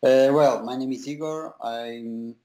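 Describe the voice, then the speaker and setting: A young man from Portugal with a Portuguese accent, a visual effects compositor being interviewed over a video call, talking haltingly with many pauses and restarts. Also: mid-range voice, fairly even intonation, mellow. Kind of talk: casual interview talk.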